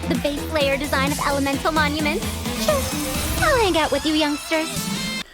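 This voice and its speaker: A woman's voice: sits high, normal speed, natural voice. A woman voicing a proud century-old scholar. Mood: haughty teasing. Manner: haughty, teasing elders' tone. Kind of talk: game character voice acting. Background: loud game music.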